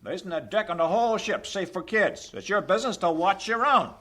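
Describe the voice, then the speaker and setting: Brusque, high-pitched ship captain. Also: male voice, lively intonation, a little fast, pushed and bright, heavily acted. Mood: stern, irritated. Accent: American. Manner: blunt, barking, scolding. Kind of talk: radio drama acting.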